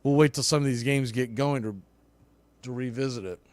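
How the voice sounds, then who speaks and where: A man's voice: mid-range voice, fairly even intonation, a little fast, no room sound. A man calling a baseball game play by play in clipped phrases that build on big plays. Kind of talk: live play-by-play commentary.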